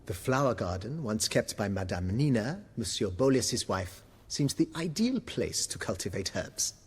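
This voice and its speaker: A man playing a deferential, fussy butler in a video game, with a breathy, husky voice and formal, florid, obsequious speech. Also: on the low side, very animated, normal speed, in a large hall, full character voice. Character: deferential, fussy, eloquent male butler of an estate.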